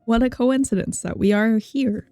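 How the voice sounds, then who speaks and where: A woman's voice: a little high, moderate pace. A young woman giving casual, rambling, playful game commentary as she thinks aloud. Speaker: game streamer. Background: soft game music.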